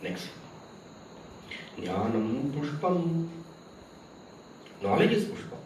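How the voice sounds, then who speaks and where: A man's voice: fairly high pitch, big pitch swings, extremely slow, noisy background. A man whose first language is Tamil, giving a spiritual discourse, asking rhetorical questions and reciting Sanskrit verses. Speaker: spiritual teacher. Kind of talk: spiritual discourse to a camp audience. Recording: very echoey, from far off, with crickets.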